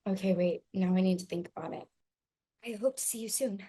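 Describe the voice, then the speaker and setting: A woman's voice: medium pitch, warm tone, husky. Chatty woman with a mixed British-American accent. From London and Italy. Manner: chatty, laughs often, quick self-corrections. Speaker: actor guest. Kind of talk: casual interview chat.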